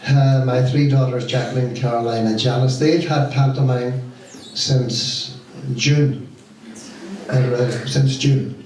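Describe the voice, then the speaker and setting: A middle-aged or older man with a Donegal Irish accent gives an informal, deliberate thank-you speech to an audience as a pantomime director. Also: mid-range voice, little pitch movement, darkish tone. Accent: Irish, County Donegal. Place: stage of a community hall. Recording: very echoey hall, distant mic, faint noise.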